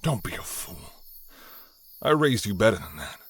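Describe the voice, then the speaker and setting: Casting: actor as cold, controlling hunter patriarch, middle-aged male, lowish dry-recorded voice, scornful and deliberate, suspense audio play. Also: sing-song, fairly bright tone, slightly rough, no echo, heavily acted. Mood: stern, bitter. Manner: deliberate, scornful, dramatic pauses. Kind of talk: suspense audio drama acting.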